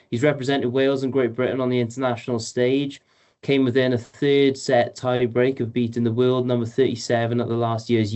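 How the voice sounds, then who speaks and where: A man's voice: medium pitch, a little mellow. A man co-hosting a podcast, reading a guest introduction and asking prepared questions, with some restarts. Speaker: podcast co-host.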